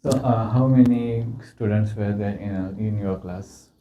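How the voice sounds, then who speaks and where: A man's voice: fairly low pitch, measured pace, lo-fi sound. A man interviewing a guest, asking questions and adding short prompts and backchannels.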